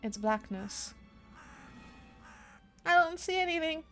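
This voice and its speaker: A woman's voice: very high voice, animated, slow, fairly bright tone. A woman game streamer reading a horror visual novel aloud, dramatically, with spontaneous asides. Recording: music behind the voice. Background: game music.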